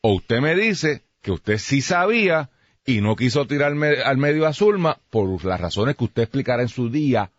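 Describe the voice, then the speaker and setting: A man's voice: medium pitch, very animated, normal speed, no room sound. A man with a Puerto Rican accent giving blunt, emphatic political opinions as a radio host, with dull radio sound.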